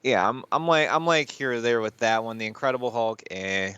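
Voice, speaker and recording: A man's voice: mid-range voice, fairly quick, sharp tone, smooth, dry recording, muffled recording. A man co-hosting a casual podcast about films, hesitant and repeating himself as he thinks aloud. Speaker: podcast co-host.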